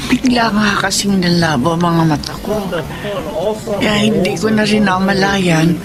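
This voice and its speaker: A woman's voice: mid-range voice, measured pace, natural voice. An actress playing an ailing, long-suffering older mother, plaintive and subdued, in a Tagalog radio drama. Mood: resigned, plaintive. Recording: music behind the voice.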